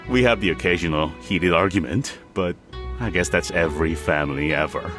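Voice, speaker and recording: A considerate family man from a game village. His slightly low voice moves up and down freely in a genial way, over clearly audible music. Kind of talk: English video game voice acting. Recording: music playing behind the voice.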